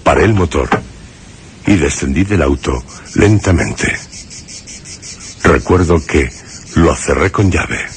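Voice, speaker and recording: A man from Spain, with a Spanish accent, playing a brooding, haunted narrator in a suspense radio drama, speaking solemnly and deliberately with dramatic pauses. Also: a bass voice, expressive, slight background noise, exaggerated voice. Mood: anguished, grave. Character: brooding, haunted man recounting his horrifying memories. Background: music behind the voice.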